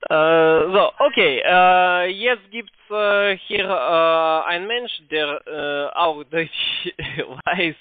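High-pitched foreign-accented man on phone. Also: dry recording. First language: not German. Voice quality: halting, non-native German with broken grammar. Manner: hesitant, repetitive, often seeking confirmation. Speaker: call centre agent, phone salesman. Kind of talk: improvised sales talk on a phone call. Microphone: telephone. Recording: muffled narrow-band phone line.

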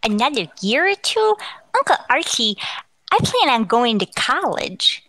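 A woman's voice: fairly high pitch, very animated, measured pace, sharp tone, no room sound, full character voice, poor audio. A woman playing a polite, precocious eleven-year-old boy in a radio comedy, speaking with proper, articulate diction. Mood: polite, earnest.

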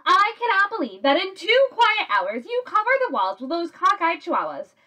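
A bright, high-pitched mother cat from a children's storybook, acted out by a woman. She is firm and bossy but affectionate, leaning hard on her words to scold and coax. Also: big pitch swings, unhurried, full character voice. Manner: bossy, emphatic, coaxing. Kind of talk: children's storybook read aloud.

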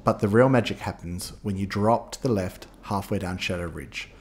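Lowish-voiced man narrating. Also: moderate pace, slight background noise. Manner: upbeat, guiding listeners step by step. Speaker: ski guide narrator. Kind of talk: scripted voice-over narration.

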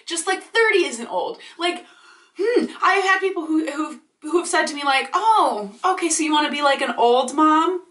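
A woman in her late twenties, vlogging in a chatty, animated, ranty way, swearing freely and a bit congested from allergies. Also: high voice. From Michigan. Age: 20s. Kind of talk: casual ranting vlog. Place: small indoor room. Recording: roomy echo, slightly distant.

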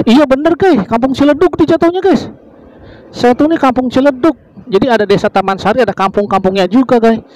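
A chatty man on a motorbike talks his viewers through the route as he rides. His voice sits high for a man. The sound is close and dry, with no room around it. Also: darkish tone, dry recording, poor audio. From Indonesia. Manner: chatty, says "guys" constantly, wondering aloud. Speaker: motovlogger. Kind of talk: casual ride-along narration. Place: riding a motorbike on village roads outdoors.

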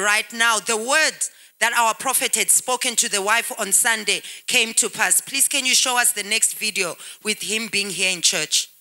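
A woman in her thirties introduces a healing testimony at church, her voice very bright and ringing. She speaks with emphasis and turns often to address the congregation. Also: on the high side. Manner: emphatic, addresses people of God. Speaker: church presenter.

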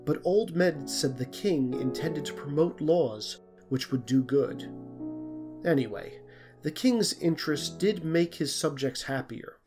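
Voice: mid-range voice, lively intonation, a little slow. A narrator reading a scripted storybook tale. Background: plucked string music.

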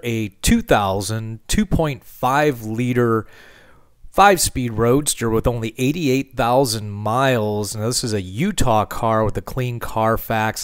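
A man hosting a car channel, talking casually over a market review with relaxed fillers. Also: medium pitch, smooth, no echo, studio quality. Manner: relaxed, conversational, frequent "uh" fillers.

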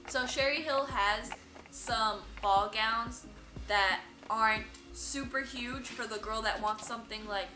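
Young woman giving casual tips on a vlog, highish voice, roomy shop sound, music behind. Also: bright, forceful tone. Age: young adult. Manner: chatty, informal, explanatory. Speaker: vlog host, boutique worker. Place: indoor dress shop. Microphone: camera a few metres away. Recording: roomy sound from camera distance.

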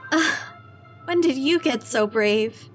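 A woman's voice: high voice, lively intonation, slow, soft background music. An actress playing a young woman in a horror audio drama, a game but uneasy best friend with a casual, wry way of talking. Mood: wry, anxious.

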